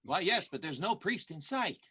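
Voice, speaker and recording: A man's voice: sits high, sing-song, moderate pace, pushed and bright, breathy edge, exaggerated voice. A man playing an earnest, lovestruck vaudeville groom in an improvised radio play, with a sincere, simple manner. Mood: eager.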